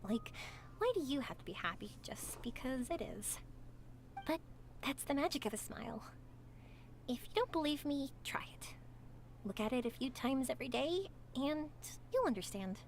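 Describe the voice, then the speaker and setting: An actress voicing a cheerful, talkative young woman in a game, speaking in a bright, encouraging way. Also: high voice, very animated, normal speed, a little hiss or hum. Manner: bright, encouraging, sing-song. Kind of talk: game voice acting.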